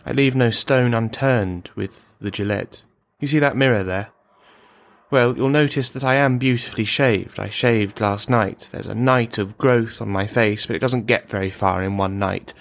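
A man's voice: on the low side, moderate pace, natural voice. A man playing an unhinged, paranoid loner who rambles on, taunting and insulting whoever is in the room, in an audio drama. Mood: rambling. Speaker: radio play performer. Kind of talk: radio drama monologue.

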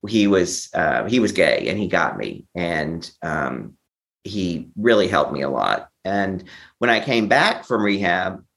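Deep-voiced man telling his story candidly. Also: a bit bright. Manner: candid, theatrical, acts out quoted remarks. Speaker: interview guest, memoir author. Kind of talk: personal storytelling in an interview.

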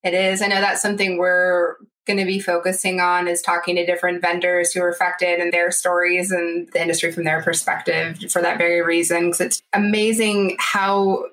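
A woman's voice: on the low side, little pitch movement, moderate pace, hardly any pauses, a bit bright, low-quality recording. A woman hosting a podcast, asking curious, encouraging questions of her guest. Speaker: podcast co-host. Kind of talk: casual podcast interview.

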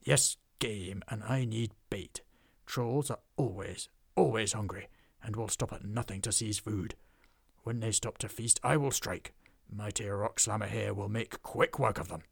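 A man voicing a fierce, burly male dwarf troll slayer who speaks slowly and menacingly. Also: lowish voice, no room sound, studio quality, heavily acted. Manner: gruff, slow and menacing.

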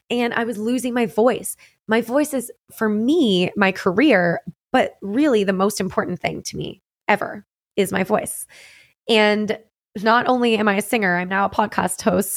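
Young woman, podcast host, mid-pitched and conversational, earnest personal monologue, dry close sound. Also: dry recording. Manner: earnest, reflective, conversational with frequent "um" and "like".

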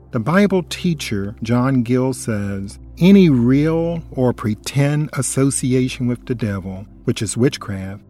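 A man with a mellow, darker tone reads a scripted Bible study as if on radio. His delivery is earnest and admonishing, pressing the listener with pointed questions. Soft music plays quietly underneath. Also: medium pitch. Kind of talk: scripted religious narration.

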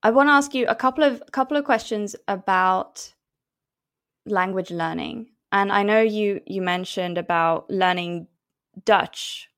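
A woman's voice: mid-range voice, measured pace, fairly bright tone. A woman hosting a podcast, asking questions in a friendly, encouraging way. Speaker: podcast host. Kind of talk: casual interview conversation.

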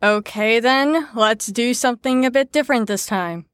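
A woman acting a high-voiced, sardonic lesson leader. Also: normal speed, dry recording, close to an everyday voice. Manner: sardonic, mock-cheerful. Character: sarcastic, breezy woman leading a psychology lesson. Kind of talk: audio drama acting.

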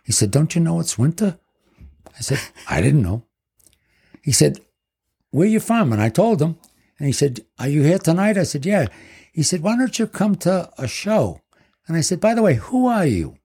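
A man's voice: on the high side, big pitch swings, moderate pace, pauses often, dark tone, breathy edge. A man in his seventies telling rambling stories about his past as a guest in casual chat. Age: 70s. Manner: rambling, leans on "basically". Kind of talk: casual storytelling.

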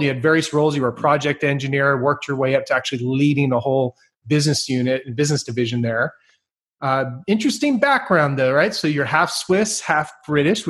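A man hosting a podcast, talking in an enthusiastic, energetic way and laughing easily as he introduces his guest. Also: a little high. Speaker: podcast host. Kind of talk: upbeat podcast interview.

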